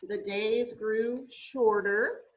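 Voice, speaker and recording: A woman reading a children's story aloud expressively, with deliberate, drawn-out emphasis for young listeners. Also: a little high, steady tone, low-quality recording. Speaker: storybook reader.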